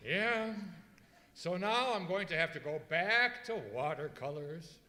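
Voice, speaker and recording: Gossipy announcer sidekick, acted by a man, retro radio comedy. Bright, lively, teasing, echoey live hall. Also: high voice, measured pace, heavily acted. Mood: earnest. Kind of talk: old-time radio comedy acting.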